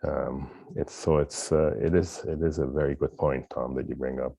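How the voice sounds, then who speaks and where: A poet whose first language is Hungarian, speaking hesitantly with many restarts on a discussion panel. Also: male voice, a bass voice, fairly even intonation, normal speed, vocal fry, warm tone, slightly rough. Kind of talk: panel discussion after a reading.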